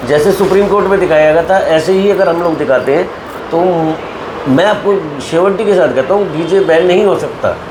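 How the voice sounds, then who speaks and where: A man's voice: on the high side, a little fast. A man speaking as an association leader in an interview, earnestly appealing to members and repeating points for emphasis. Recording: echoey room with background noise.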